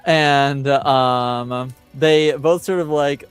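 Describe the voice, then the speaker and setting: A man narrating an improvised tabletop roleplaying game as game master, halting as he searches for words. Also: medium pitch, fairly even intonation, smooth, faint music behind.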